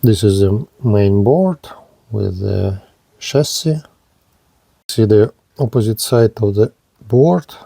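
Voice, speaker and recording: Slow, halting man demonstrating a gadget. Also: on the low side, expressive, creaky, warm tone, breathy edge, no room sound. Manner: halting, short fragmented phrases. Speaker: gadget reviewer presenting a radio. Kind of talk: unscripted hands-on product demonstration.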